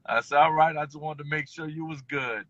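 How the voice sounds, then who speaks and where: A man born in Kuwait and raised in Detroit, telling his life story as an interview guest in a relaxed, rambling way full of fillers. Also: fairly high pitch, brisk, dry recording, muffled recording. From born in Kuwait, raised in Detroit and New Orleans. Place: at home, just pulled into driveway.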